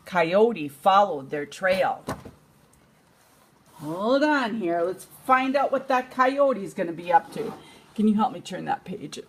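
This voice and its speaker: An older woman, a grandmother, reading a bedtime storybook aloud to a child in an expressive, unhurried way. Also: mid-range voice, slight background noise.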